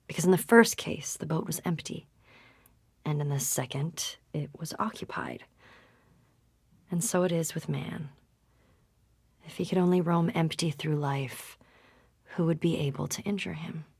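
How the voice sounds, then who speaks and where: A reflective researcher in a game audio log, with a low voice full of vocal fry. She sounds soft and understated, recorded very dry. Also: unhurried, long pauses, no echo, full character voice. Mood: calm, contemplative. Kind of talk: audio drama reading and conversation.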